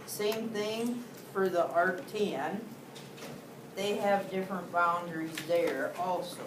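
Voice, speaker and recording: A woman math teacher giving a slow, deliberate classroom lecture to students. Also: fairly low pitch. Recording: echoey classroom, distant, noisy.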